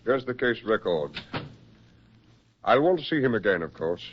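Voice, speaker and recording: A man acting a brisk, persuasive military psychiatrist with genteel, insistent delivery in a 1940s radio drama. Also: on the low side, big pitch swings, a little hiss or hum. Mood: grave, weary concern. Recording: muffled 1940s radio recording.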